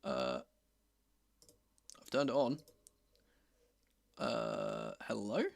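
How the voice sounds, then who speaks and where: A man, a bit croaky from being under the weather, chats casually and wryly as the host of a football watchalong stream. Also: lowish voice, huge pitch swings, extremely slow, no echo. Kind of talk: casual livestream chat.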